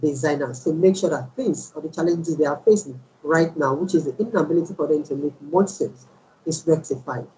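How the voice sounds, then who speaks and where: Host, mellow dark tone, faint background hiss, halting delivery. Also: medium pitch, rough recording. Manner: hesitant, stammers and repeats "all right?". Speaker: interview host. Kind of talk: interview questions.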